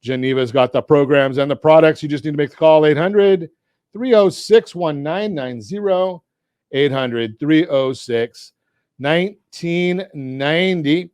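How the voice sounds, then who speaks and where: Upbeat higher-voiced male radio host. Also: a little slow, clean tone, no echo, studio quality. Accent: Southern Californian. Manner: energetic, jokey, asks leading questions. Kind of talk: talk radio with a sponsor read. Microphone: studio mic.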